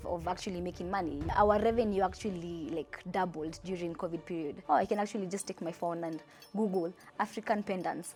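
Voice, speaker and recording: A young Kenyan woman with a Kenyan accent, telling her life story in a chatty, animated interview. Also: lowish voice. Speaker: entrepreneur.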